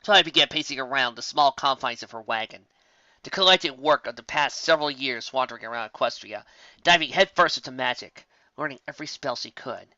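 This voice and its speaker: A narrator giving an expressive storytelling reading of fan fiction. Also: mid-range voice, fairly quick, no echo. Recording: dull, muffled home recording.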